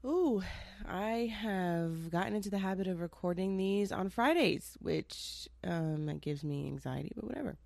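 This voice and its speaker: A woman's voice: fairly low pitch, lively intonation, normal speed, creaky, darkish tone, dry recording. A young woman hosting her own podcast in a chatty, rambling way, full of asides and the odd curse, sounding a little nasal from a cold. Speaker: podcast host. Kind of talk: casual solo podcast chat.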